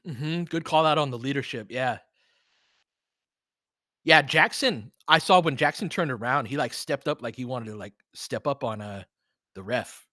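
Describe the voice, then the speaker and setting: Bright-voiced man calling live basketball. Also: mid-range voice, normal speed, dry recording, clean studio sound. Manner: informal fan commentary, urging players on, chatting with listeners. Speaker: host of a basketball film-room audio stream. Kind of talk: live basketball play-by-play and analysis, casual.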